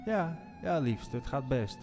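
An actor playing a weary husband in a radio drama, muttering reassurance. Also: male voice, medium pitch, big pitch swings, unhurried, a little mellow, soft background music. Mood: weary, reassuring. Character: weary husband reassuring his wife, a hunter.

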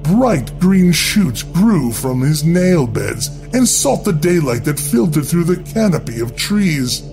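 Slow, theatrical male horror narrator. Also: fairly high pitch, big pitch swings. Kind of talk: dramatic scripted horror narration. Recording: music bed under the voice. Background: music.

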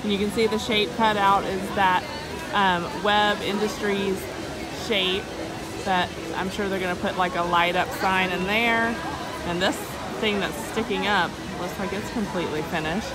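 A woman's voice: medium pitch, fairly even intonation, moderate pace. A woman in her thirties, hosting a casual vlog and chatting in an upbeat way with many fillers, recorded outdoors on a phone. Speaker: vlogger host. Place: outdoors at a busy theme park. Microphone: phone camera held by the speaker. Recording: loud crowd and music behind.

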